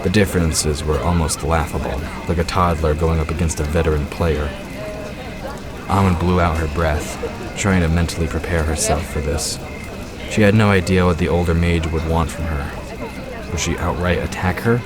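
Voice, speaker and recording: A man's voice: a bass voice, fairly even intonation, moderate pace, over loud music. A man giving scripted narration for a fantasy audio drama.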